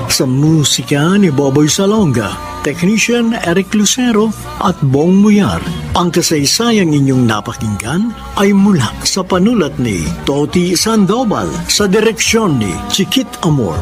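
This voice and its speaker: A man's voice: high voice, big pitch swings, a little slow. A man with a Filipino accent hosting a radio drama, announcing in a formal, theatrical scripted style. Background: background music.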